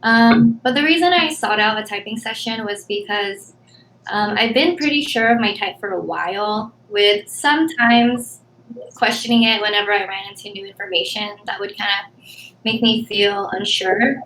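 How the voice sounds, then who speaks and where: A woman in her twenties, from the Philippines, answering interview questions softly and hesitantly, with many pauses and ums. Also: mid-range voice. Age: 20s. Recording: echoey room, faint background noise.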